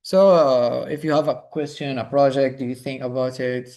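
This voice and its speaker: A man's voice: mid-range voice, fairly even intonation, normal speed, darkish tone. A man on the bootcamp staff, upbeat and encouraging as he invites questions.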